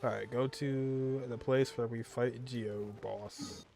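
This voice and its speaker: A man's voice: medium pitch, steady tone, slow pace, gravelly, a little mellow, a little hiss or hum. Man casually commentating over gameplay. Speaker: video game streamer. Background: game music.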